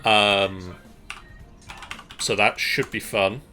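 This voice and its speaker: A middle-aged man hosting a livestream, giving casual announcements with halting pauses. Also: lowish voice, fairly even intonation, sharp tone. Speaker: streamer and host. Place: small room at home. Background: faint music.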